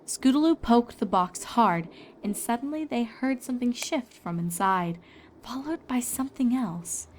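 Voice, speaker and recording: A woman's voice: fairly high pitch, expressive, measured pace, darkish tone, a little hiss or hum. A woman reading scripted story narration as a storyteller and voice actor.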